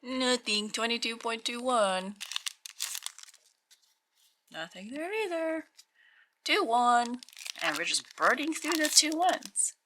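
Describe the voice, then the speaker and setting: Woman, croaky morning voice, slow and musing, faint background hiss, tabletop unboxing. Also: fairly high pitch, lively intonation. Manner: slow, musing, reads out weights. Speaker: channel host opening card packs. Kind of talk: casual hands-only unboxing commentary. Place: indoors at a tabletop.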